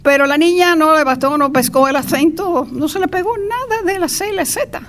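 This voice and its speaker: A woman's voice: high voice, normal speed, a bit bright. An older woman with a Cuban accent giving an informal, chatty introductory speech with playful asides. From Cuba. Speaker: speaker introducing a lecturer. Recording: noisy live event recording.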